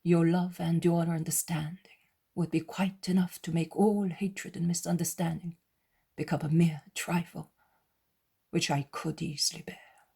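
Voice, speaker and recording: A woman reading the lines of a sorrowful, gentle male teacher in a hushed whisper. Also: lowish voice, little pitch movement, a little slow, vocal fry, slightly rough, full character voice.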